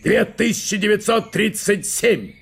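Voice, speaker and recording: An actor playing a huge, insolent guards captain in a radio drama, reporting with precise military formality. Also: male voice, sits high, animated, unhurried, gravelly, a bit bright, breathy edge, pitched above the performer's own voice, full character voice. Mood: brisk, formal.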